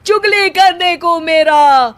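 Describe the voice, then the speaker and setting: A woman's voice: extremely high pitch, takes it slowly, voice pushed up. A woman voicing a lazy, dramatic young husband who speaks in rhymes in a comic story. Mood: aggrieved, playful. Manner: theatrical rhyming delivery.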